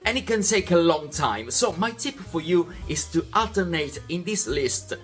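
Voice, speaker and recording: Man, young adult, animated, friendly and methodical, scripted vlog voiceover. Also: fairly high pitch, normal speed, faint music behind. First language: Italian. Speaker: vlog host.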